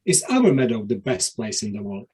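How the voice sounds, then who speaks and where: A man's voice: lowish voice, very animated, a little fast, a little mellow, husky, voice pushed down. Man doing a curious hare with a Czech accent. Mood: wondering. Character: curious questioning male hare.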